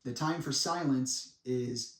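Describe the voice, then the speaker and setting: Man in his twenties, echoey room, off-mic, deliberate dramatic monologue. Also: mid-range voice. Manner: dramatic and deliberate, with pauses and profane asides. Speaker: speaker making a personal public statement. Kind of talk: semi-prepared monologue addressed to viewers. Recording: echoey room, distant microphone.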